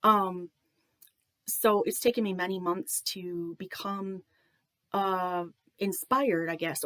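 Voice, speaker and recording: A woman talking to camera in a joking, self-deprecating way, with many pauses and a slightly nasal voice. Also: lowish voice, fairly bright tone, no echo. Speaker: video creator.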